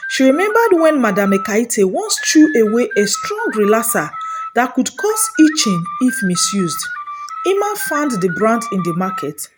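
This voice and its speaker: A woman with a Nigerian accent telling a humorous, dramatic scripted story in an expressive, playful way. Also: fairly high pitch. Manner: expressive, playful, with Pidgin phrases.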